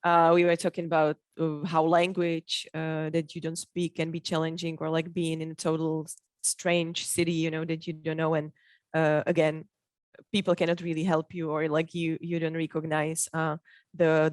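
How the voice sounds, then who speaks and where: Woman, lowish flat voice, dry sound, hesitant panel host. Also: moderate pace, no room sound. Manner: hesitant, many fillers, slightly non-native phrasing. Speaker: program staff host. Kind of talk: moderating a panel. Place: video call.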